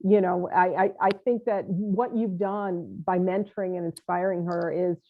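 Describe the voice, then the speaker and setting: A woman's voice: on the low side, steady tone, moderate pace, a little hiss or hum. A woman hosting an interview, encouraging and enthusiastic, with many fillers. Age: older. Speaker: podcast host. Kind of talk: casual interview chat. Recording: tinny phone-like line.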